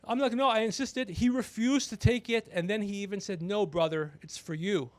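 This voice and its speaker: A man hosting a livestream, rambling comedically with blunt, profane, teasing banter and a creaky voice. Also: high-pitched, moderate pace, no echo. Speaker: podcast livestream host.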